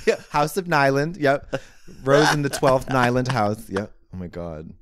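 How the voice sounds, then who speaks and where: A man co-hosting a podcast, gushing and quick-witted in a playful way. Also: medium pitch, dry recording. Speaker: co-host. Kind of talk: casual podcast chat.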